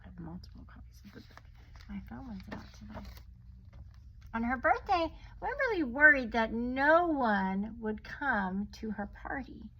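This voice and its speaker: A woman reading a children's story aloud like a teacher, expressively drawing out words and adding hushed, chatty asides. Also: fairly high pitch, sing-song, unhurried, muffled recording. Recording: noticeable background noise.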